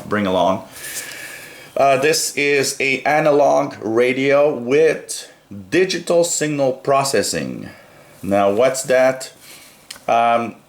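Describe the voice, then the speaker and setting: Guy doing a casual gadget review, lowish voice, takes his time, pauses a lot, roomy echo. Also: fairly bright tone. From North America. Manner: hesitant, pausing, explanatory. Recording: roomy, echoey sound.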